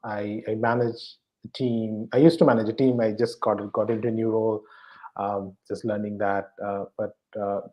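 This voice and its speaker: Halting Indian man with a Bengali accent. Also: fairly low pitch, little pitch movement, a little mellow, poor audio. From West Bengal, eastern India. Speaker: podcast guest, bank professional. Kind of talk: casual interview. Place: at home.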